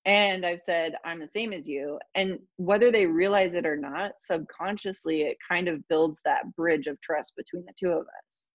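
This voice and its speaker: Lady guest spinning stories, kinda low voice, dry dull sound. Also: moderate pace, hardly any pauses, no echo. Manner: animated, laughs often, frequent "like" fillers. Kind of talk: casual storytelling interview.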